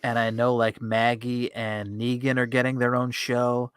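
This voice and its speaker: A man's voice: medium pitch, completely flat delivery, unhurried, fairly bright tone, smooth, no room sound, clean studio sound. A man co-hosting a podcast with casual banter, encouraging and given to repeating short phrases. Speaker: podcast co-host.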